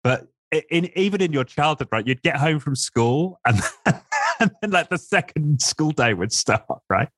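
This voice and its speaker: A man's voice: a little high, lively intonation, normal speed, fairly bright tone, no room sound, clean studio sound. A man hosting a podcast interview, asking questions hesitantly, with many fillers and restarts. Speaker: podcast host.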